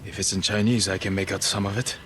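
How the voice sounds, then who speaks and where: Man playing a stoic low-voiced samurai warrior. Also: little pitch movement, close to an everyday voice. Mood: calm, serious. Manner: terse, measured, serious. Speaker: voice actor in a samurai video game. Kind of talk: cinematic video game dialogue. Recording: game dialogue under clear ambient noise.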